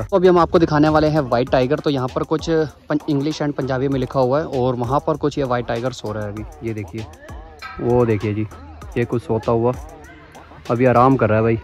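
A man vlogging a casual walking tour of a zoo, chatty and enthusiastic, often exclaiming, recorded outdoors on a handheld camera. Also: medium pitch. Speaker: travel vlogger.

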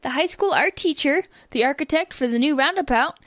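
A sassy, confident daughter with a head for business in a family radio comedy, played by a woman. Her voice is high and very animated, with blunt delivery and a dry, close sound. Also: fairly quick, no echo. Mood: businesslike.

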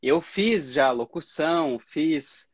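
A man's voice: a little high, takes it slowly, highs cut off, a crowd in the background. A man in his thirties from Brazil, hosting a casual interview over a video call and telling stories with a laugh. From Brazil, Campinas. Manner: chatty, storytelling, laughs easily. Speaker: host. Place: small room at home. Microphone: video call webcam.

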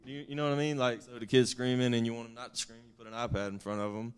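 A man's voice: medium pitch, level tone, racing, clear, resonant tone. A man in the audience at a conference workshop, asking a question in a hotel conference room.

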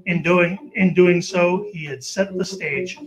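A man narrating an old-time radio show in a solemn, deliberate storytelling manner. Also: sits high, fairly even intonation, a crowd in the background. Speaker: narrator of an amateur radio play. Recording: noisy amateur live recording.

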